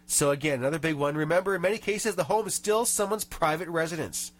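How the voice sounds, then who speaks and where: A man's voice: fairly high pitch, quick pace. A man hosting a radio show in a studio, nasal from a cold, chatting and reading tips aloud with small rants. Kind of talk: casual radio chat.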